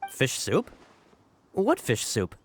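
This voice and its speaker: A man's voice: medium pitch, wildly swooping pitch, slow pace, slight background noise. An actor playing an eager young male informant in a video game, earnest and informal as he reports to his boss. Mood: earnest, puzzled. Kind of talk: scripted video game voice acting.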